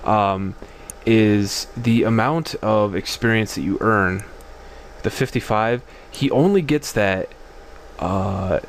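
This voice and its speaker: A man with a deep voice giving relaxed, rambling commentary as he plays through a game and reads its text aloud. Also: gravelly, slight background noise. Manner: relaxed, rambling, many fillers and restarts; reads the game's dialogue aloud in his own voice. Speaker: let's play host. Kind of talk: casual gameplay commentary. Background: game music.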